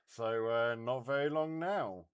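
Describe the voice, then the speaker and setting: Man, smooth clear voice, casual radio net host, hesitant halting delivery. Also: mid-range voice.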